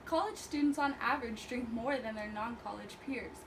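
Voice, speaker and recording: An eighteen-year-old woman student opening a class presentation by reading briskly from a script. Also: fairly high pitch. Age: young adult. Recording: distant mic with clear noise.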